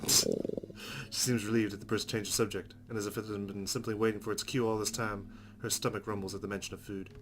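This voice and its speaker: A man's voice: fairly low pitch, flat delivery, quick pace, noisy background. A man reading visual novel narration aloud as a let's player, with game music behind him. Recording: music under the voice. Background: game music with plucked guitar.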